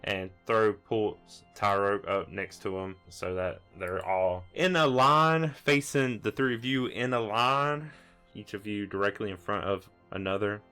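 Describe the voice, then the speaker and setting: A man's voice: lowish voice, unhurried, bright, forceful tone, clear, resonant tone. A man narrating a tabletop roleplay game as its game master. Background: music under the voice.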